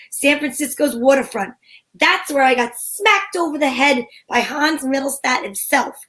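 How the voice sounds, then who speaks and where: Female gumshoe actress, high voice, cheeky and wisecracking, slangy noir delivery for vintage-style radio detective drama. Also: fairly bright tone. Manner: wry, slangy hardboiled delivery. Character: wisecracking female private eye, cheeky and flirtatious, narrating her case.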